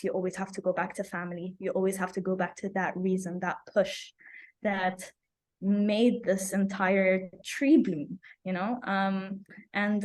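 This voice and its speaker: A young woman from Abu Dhabi speaks as an interview guest with a medium-pitched voice. She is earnest and personal, reflecting as she goes and circling back on phrases for emphasis. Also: a little mellow. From Abu Dhabi, UAE. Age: young adult. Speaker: climate activist, podcast guest.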